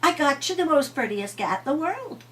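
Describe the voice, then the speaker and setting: An older woman voicing a folksy, excitable, fond little old man in a bedtime story. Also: high-pitched, normal speed, distant mic, voice pushed up. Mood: proud, worried. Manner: exclaiming, folksy, emphatic.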